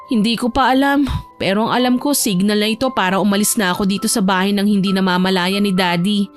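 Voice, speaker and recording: A woman's voice: medium pitch, fairly even intonation, fast, soft background music, lightly acted. A woman voicing a troubled young heiress in an audio romance drama. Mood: worried, pleading. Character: troubled young heiress plotting to run away.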